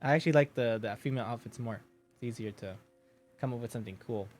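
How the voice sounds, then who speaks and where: A man with a deep voice chatting casually with his stream viewers, joking and reading their messages aloud. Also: fast, a little mellow, soft background music.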